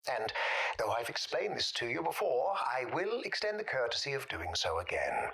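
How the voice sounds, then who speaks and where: An actor playing a polite but sinister middle-aged man whom nobody can remember, speaking formally and deliberately in a husky, breathy voice for an audio drama. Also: medium pitch, very animated, sharp tone, exaggerated voice, poor audio. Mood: courteous, weary. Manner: courteous, deliberate, formally worded.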